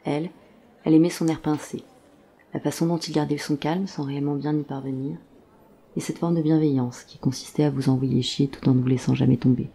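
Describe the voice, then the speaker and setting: Mellow, measured drama narrator. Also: medium pitch, steady tone, gravelly, slight background noise. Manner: measured, literary storytelling. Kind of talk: scripted audio drama narration. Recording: faint background ambience.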